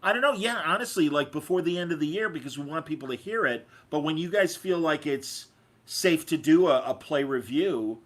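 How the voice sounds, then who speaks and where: Chatty man hosting, slightly high-pitched voice. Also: lively intonation, brisk, a bit bright. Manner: chatty, effusive, stammering repeats. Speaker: podcast host. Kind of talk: casual interview chat.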